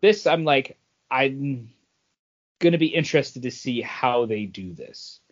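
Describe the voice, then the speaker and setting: Mid-pitched man in muffled podcast chat. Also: lively intonation, takes it slowly. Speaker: podcast co-host. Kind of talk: casual geek-culture chat.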